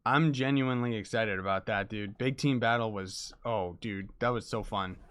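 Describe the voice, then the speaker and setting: A young man chatting casually with viewers while he plays a game, hoarse and losing his voice from being sick, talking in an excitable, laid-back way. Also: on the low side, moderate pace. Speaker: game streamer. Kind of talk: casual livestream chat while gaming.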